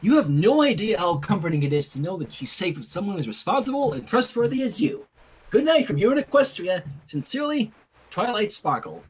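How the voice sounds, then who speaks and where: Story reading: earnest, chatty bookish young woman, voiced by a man, lively swooping pitch, polite and wordy. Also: fairly quick, breathy edge, exaggerated voice, low-quality recording.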